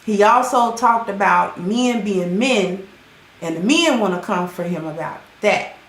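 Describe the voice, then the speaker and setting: A woman hosting her channel, chatting casually with viewers and greeting them by name, her voice sounding hoarse. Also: medium pitch, measured pace. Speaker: channel host. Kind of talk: casual livestream commentary. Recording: roomy echo with faint music.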